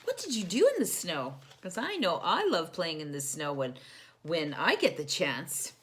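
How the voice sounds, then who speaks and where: A woman's voice: lowish voice, sing-song, a little slow. A woman hosting a children's storytime, reading a picture book expressively and putting simple questions and explanations to young listeners.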